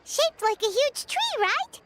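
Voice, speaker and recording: A woman voicing an excitable, curious, childlike little fairy companion in an English video game, exclaiming eagerly. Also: very high-pitched, slow pace, exaggerated voice. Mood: curious, excited. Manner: exclaiming, eager, speaks of herself in third person.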